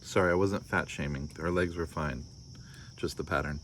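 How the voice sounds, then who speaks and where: A man's voice: extremely low pitch, normal speed, fairly bright tone, a little hiss or hum. An American man reading a children's story aloud, breaking off for wry, casual asides. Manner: wry, joking commentary. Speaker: storybook reader.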